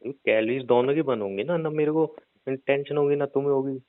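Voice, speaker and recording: A man's voice: medium pitch, brisk, dry recording. A young man teasing his girlfriend in a comedic phone call, playful and mocking. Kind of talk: scripted comedic phone banter.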